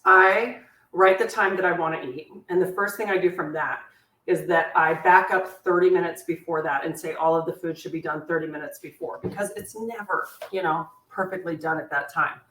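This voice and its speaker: A woman's voice: fairly even intonation, moderate pace. Chatty woman hosting a cooking class. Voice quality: squeaky. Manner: chatty, warm-hearted asides, laughs at herself. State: sore back, in discomfort. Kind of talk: live online cooking class, answering viewer questions. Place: home kitchen. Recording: echoey kitchen, voice from a distance. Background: clinking cutlery and dishes.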